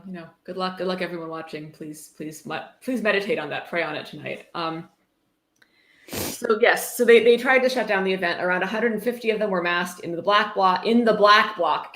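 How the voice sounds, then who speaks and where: A woman's voice: fairly low pitch, a little fast, fairly bright tone. A woman hosting a casual livestream, reading passages aloud and commenting in a sarcastic, irreverent way with free swearing. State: tipsy. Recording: roomy, echoey room sound.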